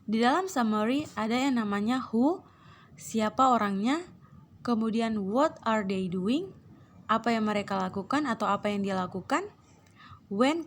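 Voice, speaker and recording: A woman teacher with an Indonesian accent, hoarse from a cold, reading English captions slowly and explaining them, clearing her throat often. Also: on the high side, a little hiss or hum. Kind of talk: online English lesson, reading then translating.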